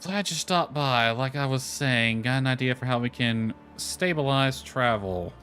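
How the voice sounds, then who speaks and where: A man's voice: mid-range voice, a little slow, a bit bright. Casual guy gaming over music. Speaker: gamer commenting on own playthrough. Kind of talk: casual game commentary, reading dialogue aloud. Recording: lo-fi music playing behind. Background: background music.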